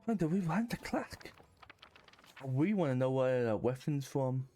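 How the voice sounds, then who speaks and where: A man's voice: medium pitch, expressive, measured pace, warm tone. A man doing casual game commentary, thinking aloud in a hesitant, musing way. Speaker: gaming streamer. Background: game music.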